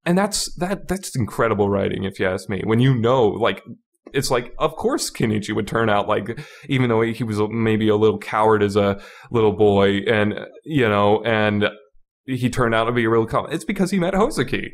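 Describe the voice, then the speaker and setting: A man's voice: on the low side, normal speed. A man hosting a review podcast in a casual, opinionated style, rambling emphatically and repeating his words as he argues his points. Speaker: podcast host reviewing a visual novel.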